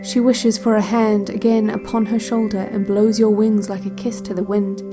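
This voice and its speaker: A woman playing a controlled, quietly ominous relaxation-cassette narrator, guiding a visualization in a measured, hushed, detached way over faint music. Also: medium pitch, level tone, close to an everyday voice. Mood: hypnotic, eerie. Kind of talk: slow guided visualization, scripted audio drama.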